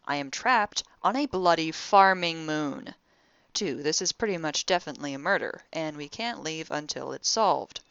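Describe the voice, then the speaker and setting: A woman playing a wry, deadpan, exasperated young spaceship crew member recording a personal audio diary in a sci-fi audio drama. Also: lowish voice, clear, resonant tone, no room sound, highs cut off. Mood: exasperated, sarcastic.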